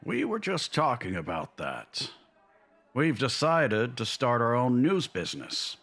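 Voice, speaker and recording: A man playing a grieving but resolute older newsman and fatherly uncle in a comic dub, measured and earnest. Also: mid-range voice, wildly swooping pitch, a bit bright, heavily acted. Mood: easygoing. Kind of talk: dramatic comic dub acting.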